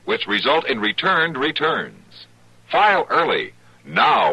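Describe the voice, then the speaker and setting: A man's voice: medium pitch, bright, forceful tone, breathy edge, low-quality recording. An American man reading a scripted vintage radio public service announcement in an emphatic, instructive way.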